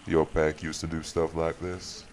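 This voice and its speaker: A man playing a courteous, protective man of authority in an audio roleplay, with a folksy, measured way of talking straight to the listener. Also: rumbling low, little pitch movement, a little mellow, slight background noise. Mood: welcoming.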